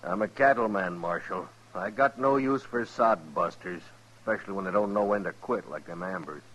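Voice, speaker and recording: An actor playing a hard, vengeful cattle rancher, blunt and demanding, with a rural American West accent in a 1950s radio western. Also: male voice, sits low, moderate pace, a bit bright. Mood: contemptuous. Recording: dull old radio recording.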